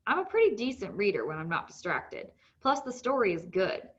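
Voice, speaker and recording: A woman's voice: medium pitch, very animated, fairly quick, muffled recording. A woman reading a children's novel aloud as scripted narration.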